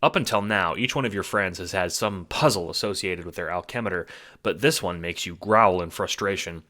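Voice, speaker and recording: A man's voice: low voice, a little fast, pushed and bright. A man narrating a scripted second-person story in a dramatic storytelling manner. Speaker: narrator and producer. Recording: music under the voice. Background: music.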